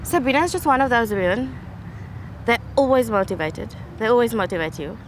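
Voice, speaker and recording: A woman's voice: a little high, expressive, brisk, a little hiss or hum, low-quality recording. A middle-aged woman with a South African accent, a teacher, speaking fondly and earnestly about a colleague. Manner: warm praise, fond and earnest. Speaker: teacher, colleague who sent the wish. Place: outdoors on open ground, then on a phone.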